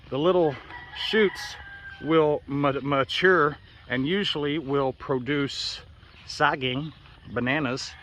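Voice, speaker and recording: Bright-voiced American man, casual and profane. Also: medium pitch. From United States. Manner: profane, offhand. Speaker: vlogger. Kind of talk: casual rambling vlog chat. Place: outdoors in a yard in the Philippines. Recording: noisy outdoor recording with birds and roosters. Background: birds, roosters and outdoor ambience.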